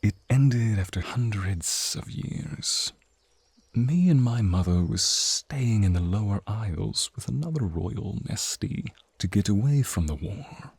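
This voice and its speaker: A man playing a suave, courtly naga prince in an ASMR fantasy roleplay, speaking softly and breathily straight to the listener. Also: on the low side, lively intonation, dry recording, heavily acted. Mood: wistful, grieving. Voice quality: soft, breathy, husky. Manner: teasing, courtly, drawn-out phrasing. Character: flirty serpentine naga prince, suave, protective, wistful beneath.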